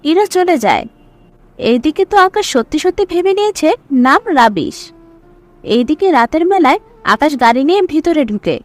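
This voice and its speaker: High, animated female story narrator. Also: moderate pace, long pauses, no echo, soft background music.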